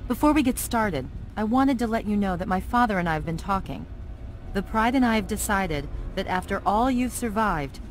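A woman's voice: mid-range voice, animated, normal speed, slight background noise, lightly acted. A woman playing a determined young soldier and bold squad leader in a video game, firm and direct. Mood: matter-of-fact. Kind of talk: video game character acting.

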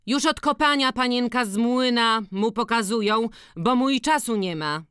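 A man's voice: very high-pitched, normal speed, pushed and bright, clean tone, no echo, clean studio sound, pitched above the performer's own voice. A man voicing a timid, worried peasant woman in an audiobook, plaintive and nagging in rural dialect. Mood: complaining. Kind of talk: dramatized audiobook reading of rural dialect dialogue.

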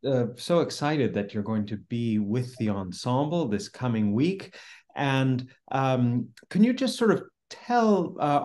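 A man's voice: medium pitch, darkish tone. A middle-aged man hosting an interview over a video call, asking polite, hesitant questions full of fillers. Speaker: interviewer, ensemble director.

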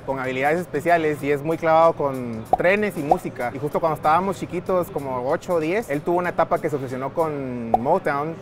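A young man from Guatemala, a guest musician, chatting in a relaxed, easygoing way outdoors on a city street. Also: fairly high pitch. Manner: easygoing, laid-back, chatty. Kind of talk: casual interview chat.

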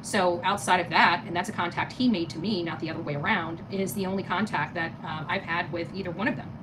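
American woman, middle-aged, quick pace, emphatic and indignant, casual livestream host, noisy home phone recording. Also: fairly low pitch, fairly even intonation. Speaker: live stream host. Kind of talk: casual livestream commentary, chatting with viewers. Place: small living room, indoors.

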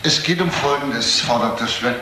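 An actor playing a middle-aged senior detective in a German crime radio play, questioning deliberately and insistently. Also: male voice, mid-range voice, roomy sound, background noise, close to an everyday voice. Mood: probing, deliberate.

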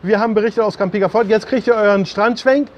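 A man's voice: high voice, fairly even intonation, quick pace, muffled recording, a little hiss or hum. A middle-aged or older man hosting a casual vlog, chatting to camera and often repeating his words. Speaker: vlog host. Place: outdoor rooftop terrace by the sea. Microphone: camera a few steps away.

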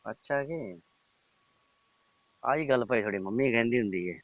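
A man's voice: mid-range voice, lively intonation, moderate pace, dry recording. A middle-aged man, having a casual private chat over the telephone. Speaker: uncle on a phone call. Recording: tinny, band-limited phone call recording.

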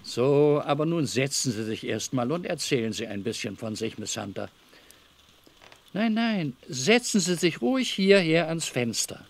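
A man's voice: fairly high pitch, very animated, unhurried, heavily acted. A man playing an evasive, overly cordial middle-aged master of a house in a German radio drama, wordy, hesitant and patronizing. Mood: jovial, fussy.

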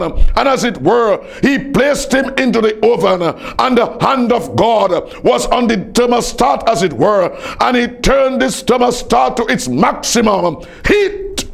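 A man's voice: sits high, vocal fry. A man preaching a fervent evangelistic sermon in an emphatic, declamatory way, repeating phrases for effect.

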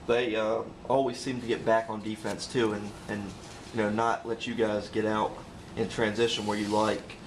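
Male reporter, low voice, hesitant questions, faint noise behind. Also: flat delivery, normal speed, poor audio. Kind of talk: press conference questions.

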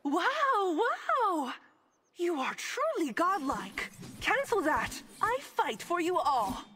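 Honorable young female warrior, game battle lines, high animated voice, proud and declarative, unhurried. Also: a little hiss or hum, heavily acted. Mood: proud, earnest. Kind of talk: video game character voice lines.

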